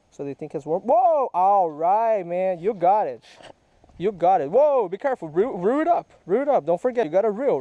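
A man's voice: high voice, no room sound, muffled recording. A man patiently coaching and quizzing a child while fishing outdoors by a creek, recorded on a head-mounted camera. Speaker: fishing vlogger. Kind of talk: casual teaching chat while fishing. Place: outdoors by a small creek in a park. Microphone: head-mounted action camera.

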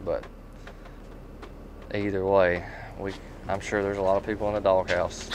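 A man in his thirties with a lowish voice talks in a folksy, rambling way about products. It is casual dealer talk, with some background noise. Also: level tone, normal speed. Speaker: tool truck dealer. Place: inside a tool truck.

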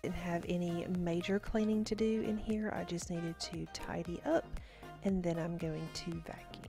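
A woman's voice: on the low side, steady tone, normal speed, gravelly, over loud music. A woman, chatting casually in a voice-over as she explains each cleaning step. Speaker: cleaning vlogger.

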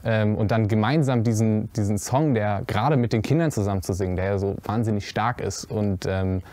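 A young man, seated on a stage, talks his way through interview questions in a lowish voice, pausing often with fillers and restarting his sentences. The sound is dry and close, with no room echo. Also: a little mellow. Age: young adult. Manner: halting, many fillers and restarts. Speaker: young actor in a musical theatre piece. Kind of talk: interview answers. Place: cinema auditorium stage before a curtain.

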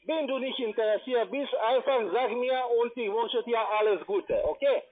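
Foreign accented guy doing a sales call, really high voice, informal and impatient, bad phone line. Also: normal speed. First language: not German. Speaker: call center trading salesman. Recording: muffled, tinny phone line.

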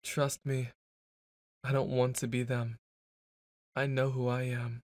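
A man's voice: mid-range voice, level tone, slow pace, a little mellow, dry recording, clean studio sound. A young man performing a boyfriend ASMR roleplay, brash and teasing, stumbling into flustered stammers.

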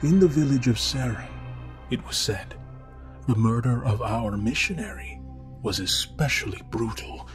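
A man's voice: mid-range voice, a little slow, soft background music, natural voice. A man voicing a zealous, deferential male temple acolyte in a storybook reading, speaking respectfully to his superior.

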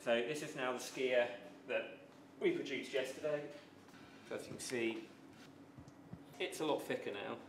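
A man, a dairy worker, casually explaining his work in an unhurried, modest, informal way. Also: mid-range voice. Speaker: dairy skyr maker. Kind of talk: casual explanation while working. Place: small dairy production room, indoors. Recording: echoey room with clear machinery noise.

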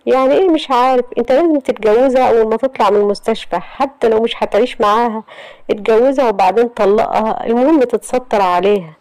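A woman's voice: fairly high pitch, quick pace, a little mellow, dry recording, pitched above the performer's own voice, lightly acted, rough recording. A shocked young woman, as a female storyteller voices her, firing anxious questions in a raised, insistent Egyptian voice. The sound is dry and close.

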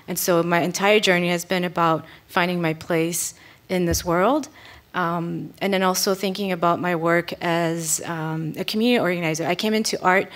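A woman from France whose first language is French speaks on an arts panel. Her voice sits a little low, and she tells her story earnestly into a table microphone on stage. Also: fairly even intonation, moderate pace, a bit bright. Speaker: panelist artist and community organizer. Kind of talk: panel talk. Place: stage at an indoor arts venue.

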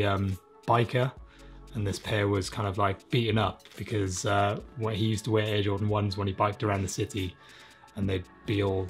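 A man in his thirties from South Wales chatting casually and self-deprecatingly as an interview guest, with informal, sweary talk. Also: lowish voice. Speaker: interviewed illustrator. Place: small room, home studio indoors.

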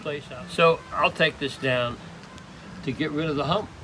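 An older man demonstrating woodworking in an open workshop, talking in a halting, rambling way full of restarts. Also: a little high, noisy background. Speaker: woodturner demonstrating. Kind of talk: casual hands-on demonstration.

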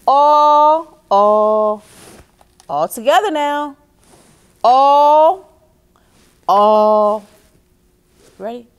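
A middle-aged woman reading and explaining to children as a story time host, expressive and speaking directly to her young listeners. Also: sits high, very slow, long pauses, clear, resonant tone. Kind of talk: children's read-aloud and instruction. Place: small indoor reading nook with bookshelves.

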